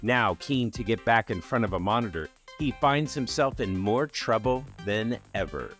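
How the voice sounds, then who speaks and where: A man's voice: on the low side, lively intonation, normal speed, fairly bright tone, soft background music. A man hosting a podcast, talking in an upbeat, enthusiastic way. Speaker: podcast host. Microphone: studio mic.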